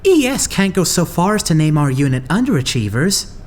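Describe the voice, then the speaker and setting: A woman voicing a timid, gloomy young idol with low self-esteem in an anime fandub, meek and self-deprecating. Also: very animated, brisk, a little mellow, a little hiss or hum. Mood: downcast. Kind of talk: anime game fandub acting.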